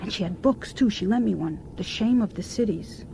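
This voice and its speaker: A woman's voice: mid-range voice, fairly quick, vocal fry, noisy background, natural voice. An actress in a radio drama playing an anxious, guilty wife, hesitant and pleading as she speaks. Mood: hesitant, confessing. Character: anxious, guilt-ridden wife confessing to her husband.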